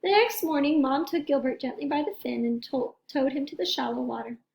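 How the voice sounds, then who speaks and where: A woman reads aloud to children in a high voice with plenty of fry. She is expressive, like a teacher, and speaks straight to her young listeners. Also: fairly quick. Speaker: teacher reading a picture book aloud.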